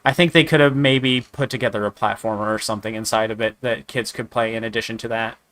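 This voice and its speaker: A man with a slightly raspy voice from a sore throat, chatting casually while reviewing games, rambling with many restarts and fillers. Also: lowish voice, fairly even intonation, fast talker, a bit bright. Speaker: gaming streamer. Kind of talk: casual game review chat.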